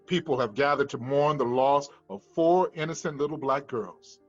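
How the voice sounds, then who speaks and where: A man reading scripted screenplay directions as narration, in a husky voice. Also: medium pitch, moderate pace. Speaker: stage directions reader. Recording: dull lo-fi room recording, faint music.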